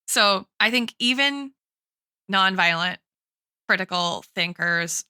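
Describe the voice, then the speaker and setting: A woman's voice: medium pitch, expressive, drawn-out words, pushed and bright, clean tone, no echo. A woman in a casual, analytical podcast discussion, thinking aloud as she goes and often checking in with "right?". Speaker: podcast co-host and guest expert.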